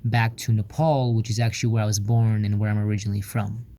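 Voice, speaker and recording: A man's voice: lowish voice, flat delivery, quick pace. A young man narrating a personal documentary voice-over in an earnest, reflective way, with many "you know" fillers. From United States. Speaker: vlogger. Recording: voice-over under loud guitar music.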